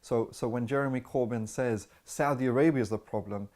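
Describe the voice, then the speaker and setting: A man's voice: medium pitch, brisk, darkish tone. A man giving argumentative political commentary, emphatic and given to restating his points.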